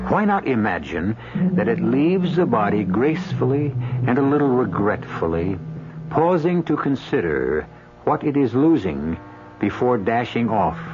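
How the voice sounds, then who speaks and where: Male radio anthology host: low, solemn, literary narration, music underneath, muffled vintage broadcast. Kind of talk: theatrical scripted radio narration. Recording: dull old radio broadcast, music behind.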